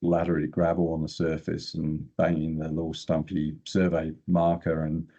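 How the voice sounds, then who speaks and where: A man's voice: rumbling low, completely flat delivery, moderate pace, dark tone, breathy edge, highs cut off. An older man, an exploration geochemist, telling stories casually as an interview guest.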